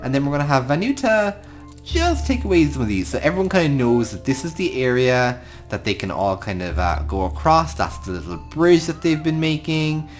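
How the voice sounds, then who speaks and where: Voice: mid-range voice, very animated, brisk, faint music behind. An Irish gaming host giving improvised storytelling commentary over a game, talking affectionately to the creatures. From Ireland.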